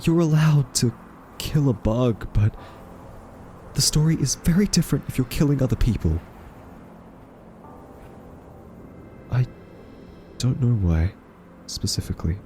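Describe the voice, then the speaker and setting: A man playing an anxious, soft-spoken scientist who reasons haltingly and earnestly with a listener in an audio roleplay. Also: medium pitch, expressive, a little hiss or hum, lightly acted. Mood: uneasy, conceding.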